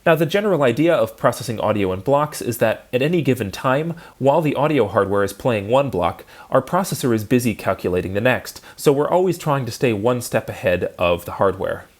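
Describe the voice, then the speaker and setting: A man giving a prepared technical lecture, explaining clearly and methodically step by step. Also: mid-range voice, expressive, brisk, hardly any pauses.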